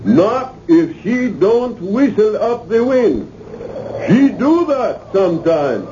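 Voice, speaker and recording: A man's voice: sits high, very animated, slow pace, dark tone, heavily acted. An actor playing a roguish West Indian island boatman in a lilting, folksy dialect in a 1970s radio drama. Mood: teasing, confident. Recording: dull noisy old radio recording.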